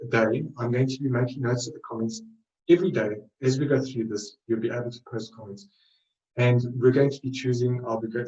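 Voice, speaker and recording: A man's voice: medium pitch, a little mellow, echoey room. A man with a South African accent leads a live webinar in a loose, rambling way, often correcting himself mid-sentence. His voice sits in a middling register and comes from a fair distance off the mic. The room rings around him, and the sound is dull and lo-fi. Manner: loose, rambling delivery with frequent self-corrections. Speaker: trading webinar presenter.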